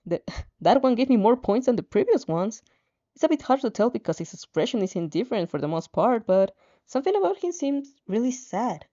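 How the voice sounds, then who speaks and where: A woman's voice: fairly high pitch, big pitch swings, fairly quick, mellow, lo-fi sound. A woman reading a story expressively, with casual, playful asides and the odd stumble over words. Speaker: fan fiction reader reacting as she reads.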